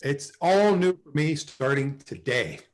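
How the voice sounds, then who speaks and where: A man acting a flustered, smitten office salesman in a sitcom table read, with a halting, excitable delivery. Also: mid-range voice, lightly acted. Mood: elated. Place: video call at a table read.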